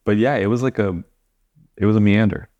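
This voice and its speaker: Casual, halting man, slightly low voice. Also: darkish tone, dull audio. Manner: informal, halting, self-deprecating. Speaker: podcast guest. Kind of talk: casual chat.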